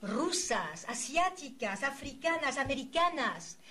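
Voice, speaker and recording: High-voiced woman giving a florid formal introduction. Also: big pitch swings, pushed and bright. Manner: solemn, florid, deliberate phrasing. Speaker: interviewer introducing a guest. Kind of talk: formal, literary scripted introduction with brief asides. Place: university event recorded for a filmed programme. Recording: clear background noise, room ambience.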